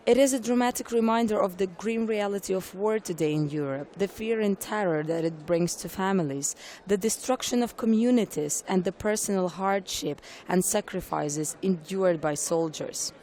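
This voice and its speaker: A woman reporter reads a scripted news report in a clear, formal way. Her voice sits at a mid pitch. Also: dark tone.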